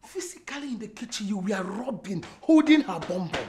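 A woman's voice: medium pitch, expressive, brisk, a little mellow, close to an everyday voice. A woman with a Nigerian accent playing an indignant, jealous wife in a comedy film, confronting with repeated rhetorical questions. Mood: outraged. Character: indignant, jealous wife scolding her cheating husband. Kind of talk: Nollywood comedy film acting.

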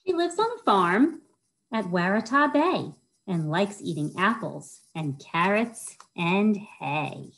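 A woman's voice: medium pitch, sing-song, slow pace, vocal fry, a bit bright, low-quality recording. A middle-aged American woman hosting a children's storytime, reading and chatting simply to young listeners. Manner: simple, child-directed talk with questions to listeners. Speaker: children's librarian, storytime host. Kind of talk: children's storytime read-aloud with chatty asides. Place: indoors, small wood-panelled room. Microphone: webcam or laptop at arm's length.

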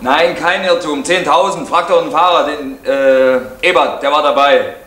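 A man's voice: fairly high pitch, normal speed, a bit bright, echoey room, lightly acted. An actor playing an indignant male village postmaster defending his office.

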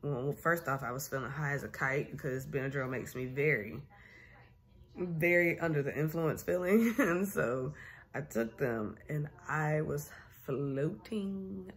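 A woman's voice: low-pitched, unhurried. Chatty woman vlogging from her armchair. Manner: candid, animated storytelling with laughs. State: recovering from a sinus infection, tired. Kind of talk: casual chatty vlog. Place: small room at home, seated in armchair. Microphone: phone camera at arm's length.

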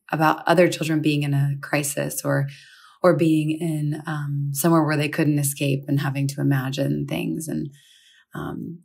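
Female podcast host, teacher type: mellow, breathy-husky tone, casual, chatty delivery. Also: sits low. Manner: informal, anecdotal, conversational. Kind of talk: casual spoken podcast talk.

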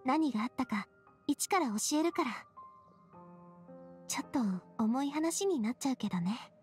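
An actress playing a gentle, earnest young lady who leads others, in a Japanese video game. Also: high-pitched, lively intonation, normal speed, soft background music. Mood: gentle, sad.

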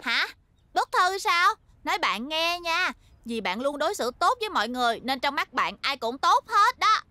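A woman's voice: high voice, very animated, moderate pace, bright, forceful tone, no room sound, full character voice. A woman voicing a stubborn, hot-tempered little cartoon schoolgirl. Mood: indignant, sly. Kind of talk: cartoon dubbing.

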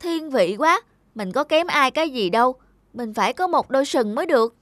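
A woman's voice: high voice, lively intonation, fairly quick, fairly bright tone, dry recording. A woman voicing a small, boastful, envious rabbit in a children's cartoon. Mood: indignant. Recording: faint music behind the voice.

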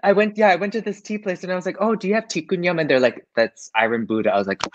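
A man's voice: high voice, lo-fi sound, a little hiss or hum. A man in his thirties from Canada telling a casual, self-deprecating story as co-host on a video call from his dining room. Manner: self-deprecating, laughing, halting restarts.

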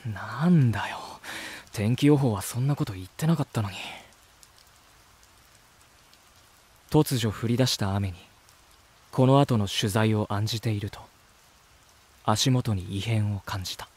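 A male actor playing an earnest, easily amazed travel reporter in a voice drama, gentle, polite and expressive. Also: on the low side, moderate pace, husky. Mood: puzzled, amazed. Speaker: voice actor narrating as lead character. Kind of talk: first-person voice drama narration and dialogue.

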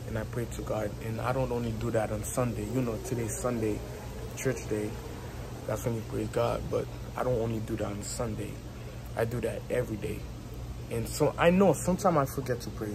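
A young man with a strong Haitian accent, chatting casually in a rambling, repetitive way, filming himself outdoors on a phone held at arm's length. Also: mid-range voice. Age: young adult. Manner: rambling, repetitive, filler-heavy talk with laughs. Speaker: YouTuber. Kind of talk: casual vlog chat. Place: outdoors on a patio by a house wall. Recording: phone recording with background noise.

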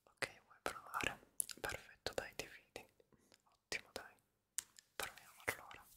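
A man whispers soothingly and breathily in an ASMR barber roleplay, reassuring and softly repeating words, close to the microphone. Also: a little slow. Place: small dim room indoors. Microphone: close studio microphone in front of him.

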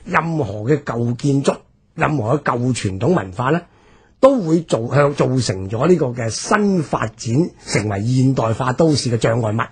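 A man with a Hong Kong Cantonese accent explains history off the cuff as a commentator, halting and drawing out his particles. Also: mid-range voice, animated, breathy edge. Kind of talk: unscripted explanatory discussion.

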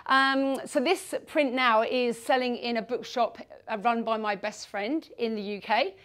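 A woman's voice: on the high side, fairly even intonation, a little slow, fairly bright tone. A woman in her forties from England with a West Country accent, giving a self-deprecating, jokey presentation to an audience in a meeting room. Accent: West Country English. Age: 40s. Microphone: far camera.

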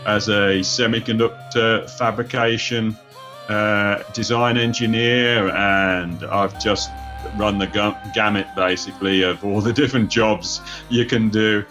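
A man's voice: lowish voice, steady tone, measured pace, pushed and bright, soft background music. A British man from the United Kingdom, an author speaking as a podcast panelist, talking casually with frequent hesitations. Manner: hesitant, lots of "uh" fillers.

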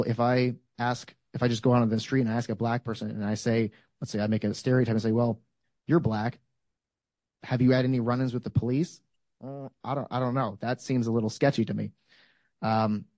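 A man's voice: mid-range voice, darkish tone, dry recording. A man in his thirties speaking at a panel discussion, making his case step by step with examples and frequent fillers. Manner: thoughtful, builds arguments with examples. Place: lecture room, standing. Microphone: handheld microphone.

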